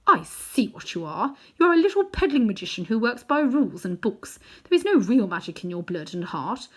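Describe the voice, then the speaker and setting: A woman reading aloud, voicing a cold, imperious queen witch from a storybook in a loud, commanding tone. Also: fairly high pitch, big pitch swings, husky, voice pushed up, full character voice. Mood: scornful commanding. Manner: commanding, scornful, slow and forceful.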